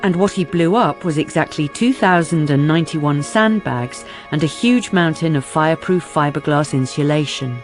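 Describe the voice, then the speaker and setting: A woman giving deliberate, scripted narration for a radio drama. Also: low-pitched, soft background music.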